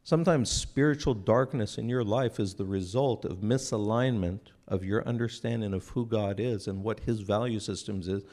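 A man in his forties, raised in Israel, giving an earnest, unhurried talk into a stand microphone on a church stage, often restarting his phrases. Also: lowish voice, warm tone. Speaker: speaker at a church breakfast. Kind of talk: sermon-like talk to an audience.

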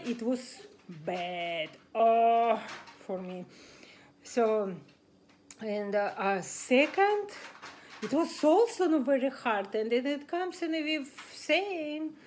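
Russian-accented woman chatting, slow and halting. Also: on the high side, a little hiss or hum. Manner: halting, many fillers and restarts, animated hand gestures. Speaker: massage student sharing exam tips. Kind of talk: casual talking-head vlog. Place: indoors at a table in a home room.

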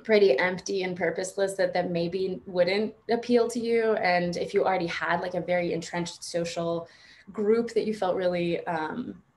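A woman in her thirties telling her personal story informally on a panel video call, halting and reflective, with frequent filler phrases. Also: fairly low pitch, steady tone, darkish tone. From born and raised in Morocco until seven, then United States. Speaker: panelist sharing personal testimony. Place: indoors at home on a video call. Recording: noisy video-call audio with room ambience.

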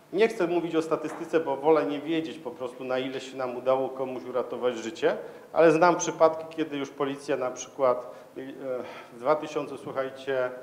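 A man gives an informal, explanatory conference talk in a conversational, somewhat hesitant way, speaking into a handheld microphone in a large lecture hall. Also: medium pitch. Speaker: conference speaker, security manager.

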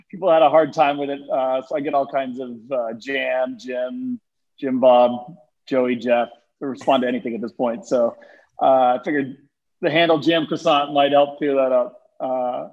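Relaxed, chatty man on a lo-fi video call. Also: medium pitch, moderate pace. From born in London, raised in Turkey and Texas. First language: Turkish. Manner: relaxed, chatty, many fillers and restarts. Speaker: guest, fund manager. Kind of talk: casual video-call interview. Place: office with high windows, indoors. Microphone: webcam on a video call. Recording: dull lo-fi video call audio.

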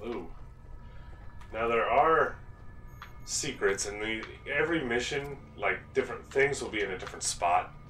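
Guy in his 30s yapping through a zombie game on a headset, bright punchy voice, roomy sound, kinda off mic. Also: mid-range voice, moderate pace, distant mic, a little hiss or hum. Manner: excited, chatty, exclaims often. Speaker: gaming video host. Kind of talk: let's play commentary.

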